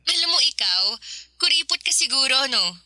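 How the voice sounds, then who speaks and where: A woman's voice: high voice, very animated, fairly quick, a crowd in the background. An actress playing a carefree, teasing young career woman in casual, playful radio drama dialogue.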